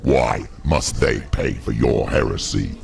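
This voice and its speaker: Abyss-deep, heavily gravelled demon shadow, menacing accuser for a dark fantasy game. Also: male voice, full character voice. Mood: menacing, accusing. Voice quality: guttural, gravelly growl. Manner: slow, accusatory questioning. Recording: heavy noisy game ambience behind.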